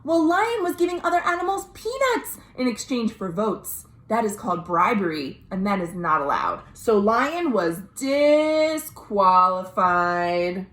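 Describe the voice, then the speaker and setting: A woman librarian reading a story aloud to children in an expressive way, pausing to explain things simply. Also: mid-range voice. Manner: expressive, addressing young listeners directly. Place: indoors at home. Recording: roomy, echoey home recording.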